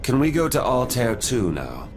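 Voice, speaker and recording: Young military commander for a game: medium pitch, earnest, determined, loyal, over loud music. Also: male voice, wildly swooping pitch, normal speed. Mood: urgent, resolute. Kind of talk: video game cutscene acting.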